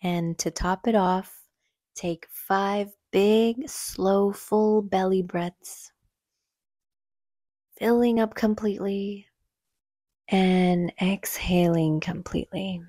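A woman leading a guided meditation in a soothing, gentle, unhurried manner, with soft breathy phrase endings. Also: mid-range voice, steady tone, dry recording, studio quality. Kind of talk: calm guided meditation narration.

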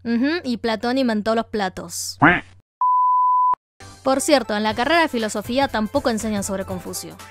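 A woman's voice: fairly high pitch, normal speed, long pauses, music in the background. Young woman host. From China. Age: young adult. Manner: chatty, wry asides. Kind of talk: casual explainer video. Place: indoor room. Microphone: lapel.

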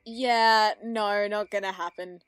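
A woman giving casual, excitable and gushing reaction commentary. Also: mid-range voice, takes it slowly, clear, resonant tone, no echo, faint music behind.

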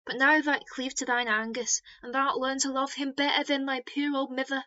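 A woman's voice: high-pitched, level tone, normal speed, pushed and bright. A woman acting a doting, sentimental old Scottish cottage mother in a farcical radio play. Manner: sentimental, mournful, broad dialect. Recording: dull lo-fi home recording.

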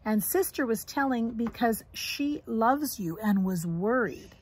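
A woman reads as a caring storybook mother bear, patient and reassuring. The voice is slightly husky and lilts widely as she gently explains. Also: a little high, animated, measured pace. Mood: explaining.

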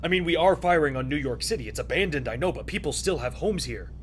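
A man's voice: a little high, lively intonation, quick pace, slight background noise, lightly acted. A man playing a dutiful, worried young soldier in a radio drama, urgent, clipped and deferential. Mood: worried, frustrated.

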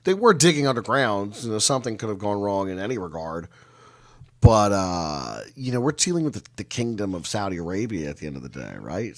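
Low-voiced middle-aged man telling mysteries. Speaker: guest storyteller and researcher of mysteries. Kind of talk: conversational storytelling interview. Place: indoor room, video call.

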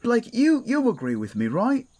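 A woman's voice: on the high side, huge pitch swings, normal speed, warm tone, voice pushed up. A woman playing a restless, blunt young fantasy adventurer in an audio roleplay, bantering and exclaiming.

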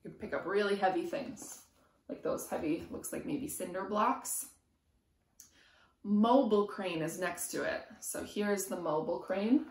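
A woman's voice: medium pitch, a little slow, a little mellow. A woman in her thirties, a preschool teacher reading aloud expressively to young children, with rhythmic, emphatic delivery. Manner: rhythmic, sing-song, emphatic on sound words. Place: small room at home, indoors. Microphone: camera a few feet away. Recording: roomy echo from camera distance.

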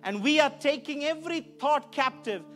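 High-pitched man preaching a sermon. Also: normal speed, bright, forceful tone, soft background music. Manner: emphatic, repeats phrases for emphasis. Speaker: pastor preaching. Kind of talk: church sermon. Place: church stage, indoors. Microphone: handheld microphone.

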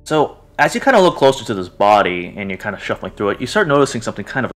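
A man's voice: on the low side, very animated, fast, a bit bright, soft background music. A man narrating a tabletop roleplaying game as game master, describing scenes and answering players.

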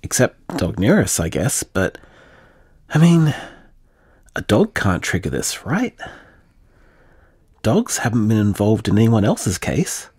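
An actor playing an anxious, frustrated, grieving man, halting and questioning as he vents in an audio drama voicemail. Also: lowish voice, very animated, a little mellow, breathy edge, no echo, clean studio sound, heavily acted. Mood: puzzled.

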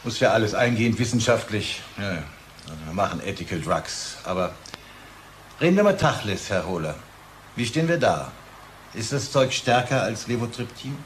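Male company boss for radio play, acted: businesslike, jovial yet pushy, fairly deep. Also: lively intonation, moderate pace, slight background noise, natural voice.

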